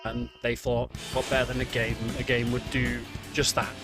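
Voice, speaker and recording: A man's voice: mid-range voice, completely flat delivery, moderate pace, fairly bright tone, faint music behind. A man giving casual, chatty live commentary on a game he is speedrunning, explaining things as he goes. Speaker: speedrunner commentating his own run.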